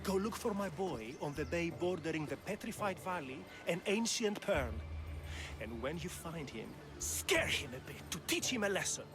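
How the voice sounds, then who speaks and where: A man's voice: a little high, expressive, normal speed, over music, natural voice. A man playing a grumpy middle-aged fisherman father in a video game cutscene. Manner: grumpy, gruff, exasperated. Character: sour, grumbling fisherman father.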